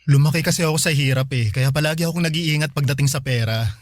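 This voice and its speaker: Higher-voiced, thrifty young Filipino boyfriend played by an actor. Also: male voice, fast talker, no room sound, close to an everyday voice. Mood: earnest, cautious. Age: young adult. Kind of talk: Filipino radio drama dialogue.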